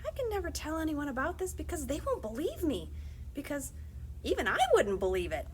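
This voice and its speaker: A woman's voice: high-pitched, wildly swooping pitch, a little fast, voice pushed up. A woman voicing a plucky, wry twelve-year-old boy in a storybook read-aloud, bold and frank. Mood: giddy. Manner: bold, frank, wryly cheerful. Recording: clearly noisy background.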